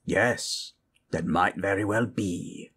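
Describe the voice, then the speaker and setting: A man voicing a grave, learned monstrous elder in a fantasy audio roleplay, speaking in a gravelly, husky voice with a measured, formal manner. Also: deep voice, a bit bright, full character voice. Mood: solemn, measured.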